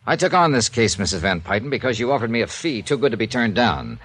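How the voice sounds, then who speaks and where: An actor playing a hard-boiled, sardonic male insurance investigator with a brisk, accusing delivery, in a 1950s radio drama. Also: medium pitch, very animated, a bit bright.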